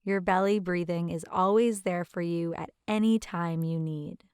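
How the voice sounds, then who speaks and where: A woman leading a guided meditation as instructor, with a gentle, soothing, unhurried manner. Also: fairly low pitch, steady tone, clean tone, no room sound, clean studio sound.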